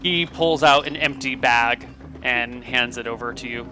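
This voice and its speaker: A man narrating as game master in a tabletop game, relaxed with fillers, over a video call. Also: medium pitch, measured pace, soft background music.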